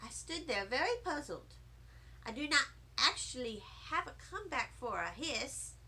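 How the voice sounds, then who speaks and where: A woman giving an expressive, animated and deliberate narration of a children's audiobook. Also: sits high, low-quality recording. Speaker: storybook reader.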